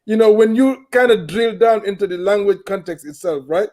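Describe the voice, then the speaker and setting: Male talk host from Gambia, high-pitched, measured and explanatory. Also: darkish tone. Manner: measured, explaining, often asks "you understand?". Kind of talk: panel discussion, mixing English and a local language.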